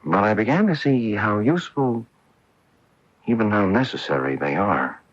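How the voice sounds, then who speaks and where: A low, husky bitter husband and washed-up golfer, his pitch swinging widely. He hedges and runs himself down in a rueful, defensive way, in radio drama style on a muffled old AM broadcast. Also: animated, normal speed. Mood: rueful, candid. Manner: hesitant, rueful, self-deprecating. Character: bitter, defensive former pro golfer husband with a guilty secret.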